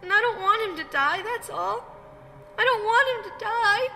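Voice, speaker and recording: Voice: very high-pitched, normal speed, bright, forceful tone, full character voice. An actor playing an earnest young boy, a pleading little brother, in an audio drama. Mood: pleading, heartbroken. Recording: long hall reverb, noisy ambience behind.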